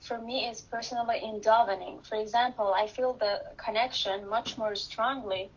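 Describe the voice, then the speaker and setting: A woman's voice: fairly high pitch, fairly even intonation, moderate pace, slightly rough. A woman whose first language is Persian asks the rabbi an earnest, thoughtful question during an online class. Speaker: class participant. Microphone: video call. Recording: dull video call audio with faint hiss.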